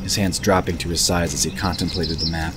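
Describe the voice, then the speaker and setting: A man's voice: sits low, steady tone, fairly quick, noisy background. A man reading scripted narration for a fantasy audio drama. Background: music.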